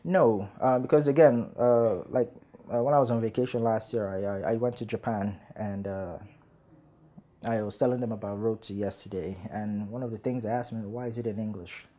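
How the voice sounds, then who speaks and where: Relaxed, rambling man. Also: on the low side, moderate pace. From Nigeria. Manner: relaxed, rambling, many fillers and laughs. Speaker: screenwriter guest. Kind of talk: casual interview chat.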